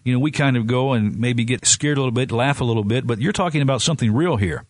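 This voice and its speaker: A man's voice: mid-range voice, fast, no room sound. Man hosting a radio interview. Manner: friendly, leading questions. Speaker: radio host.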